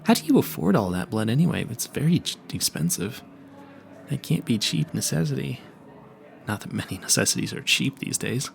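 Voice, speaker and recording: A man's voice: medium pitch, big pitch swings, vocal fry. A man playing a nervous, smitten and clueless vampire hunter in an audio roleplay, stammering and correcting himself as he speaks to the listener. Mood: curious, awkward. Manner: flustered, stammering, halting and self-correcting.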